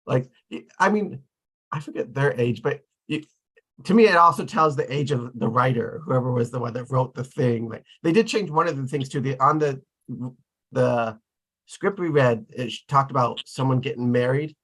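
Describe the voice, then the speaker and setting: A man's voice: medium pitch, normal speed, a bit bright, low-quality recording. A man chatting casually in a group, tentative and often correcting himself mid-thought. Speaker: table read participant.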